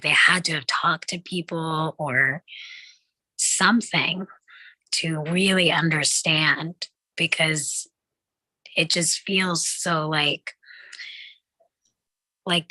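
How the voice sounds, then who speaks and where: A woman with a low, bright voice talks casually in a group discussion. She takes her time, feeling her way through halting phrases padded with "like". The sound is close and dry. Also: dry recording, low-quality recording. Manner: halting, filler-heavy phrasing with frequent "like".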